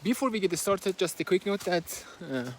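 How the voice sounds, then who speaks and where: Male book vlogger with a Persian accent who talks while walking outside: somewhat high pitch, hesitant delivery, recorded on a phone with light background noise. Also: expressive, moderate pace. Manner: hesitant, with many fillers and restarts. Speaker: book reviewer. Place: outdoors in nature, walking. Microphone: handheld phone, no fancy equipment.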